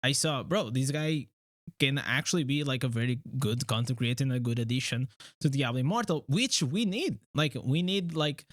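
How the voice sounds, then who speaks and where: A man from Portugal with a Portuguese accent, hosting a casual livestream chat in a halting way, full of fillers and restarts. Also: medium pitch, animated, dry recording, clean studio sound. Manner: halting, many fillers and restarts, laughs often. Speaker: streamer host.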